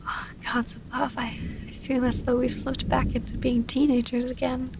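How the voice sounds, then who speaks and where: Woman acting high, creaky, loving vampire woman. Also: moderate pace. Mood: tender, reassuring. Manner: formal, old-fashioned, intimate phrasing. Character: loving female vampire, formal, wistful, gently exasperated. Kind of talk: ASMR romantic audio roleplay. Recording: steady rain ambience, faint noise.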